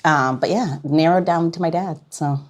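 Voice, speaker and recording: A woman's voice: deep voice, normal speed. A woman with an American accent hosting a podcast, talking casually in an informal, teasing way. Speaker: podcast host. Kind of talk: casual interview chat.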